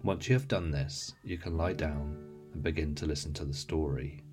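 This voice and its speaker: A man's voice: rumbling low, moderate pace, music in the background. A man reading a scripted introduction as the host of a guided meditation audio series.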